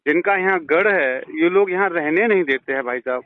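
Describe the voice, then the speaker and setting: Male villager, high voice, western Uttar Pradesh accent, insistent and pleading, heard over a narrowband phone line. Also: brisk. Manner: pleading, insistent, deferential, repeats himself. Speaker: villager on a phone call to his MP. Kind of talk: heated phone conversation. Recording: tinny narrowband phone call recording.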